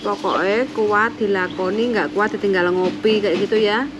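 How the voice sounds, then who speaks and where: A woman gives a migrant worker patient, motherly advice. Her encouragement stretches out in long phrases. A steady hiss of noise sits under the call. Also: medium pitch, normal speed. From Indonesia. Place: home, Hong Kong.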